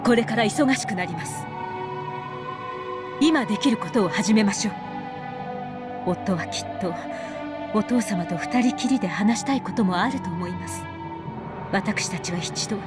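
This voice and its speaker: An actress playing a dutiful, dignified middle-aged wife in a visual novel, speaking formally and politely with composed resolve. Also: female voice, medium pitch, animated, fast talker, long pauses, natural voice. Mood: resolute. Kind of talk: Japanese visual novel voice acting. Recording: music behind the voice.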